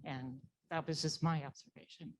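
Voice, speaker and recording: A woman's voice: sits low, steady tone, normal speed. A woman in the audience at a live event, making an informal, meandering comment in a tentative way on dull audio. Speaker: audience member. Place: live library event room.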